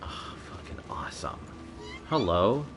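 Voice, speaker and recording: A man's voice: deep voice, sing-song, unhurried, background noise. A man giving casual, slangy, sweary live commentary while streaming a video game. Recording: game music under the voice. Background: video game music.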